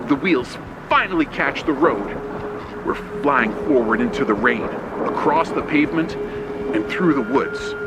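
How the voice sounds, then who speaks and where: Male horror narrator, measured and suspenseful, widely swinging intonation, radio-play drama, loud rain and engine effects behind. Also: on the high side, gravelly, heavy background noise. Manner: tense, suspenseful, short clipped phrases. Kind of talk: dramatic first-person scripted narration, old radio play style. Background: rain, thunder and vehicle sound effects.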